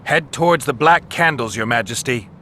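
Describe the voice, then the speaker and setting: An actor playing a stoic, world-weary monster hunter in a video game, gravelly and matter-of-fact. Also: male voice, mid-range voice, normal speed, slight background noise, natural voice. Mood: calling out directions. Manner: terse, flat, matter-of-fact.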